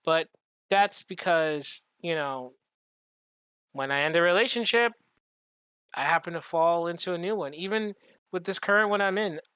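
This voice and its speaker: A man's voice: on the high side, normal speed, long pauses, pushed and bright, clear, resonant tone, no room sound. A man talking casually as a podcast co-host, rambling and candid, swearing freely. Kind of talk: casual chat.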